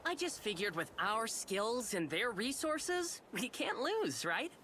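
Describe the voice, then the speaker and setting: Cocky, animated boy inventor. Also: fairly high pitch, slow, a little hiss or hum, full character voice. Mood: earnest, fired up. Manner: brash, casual, earnest. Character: cocky, upbeat young male inventor kid. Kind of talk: video game voice acting.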